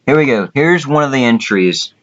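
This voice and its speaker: A man's voice: mid-range voice, expressive, moderate pace, a bit bright, a little hiss or hum. A man chatting casually and crudely as he hosts a contest, with some swearing. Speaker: contest host. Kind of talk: casual chat, commentary. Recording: dull lo-fi voice chat audio.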